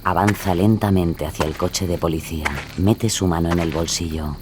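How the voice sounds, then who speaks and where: A man's voice: low-pitched, fairly even intonation, normal speed, background noise. A man narrating a scripted true-crime drama in a suspenseful storytelling manner. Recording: clear night ambience with crickets.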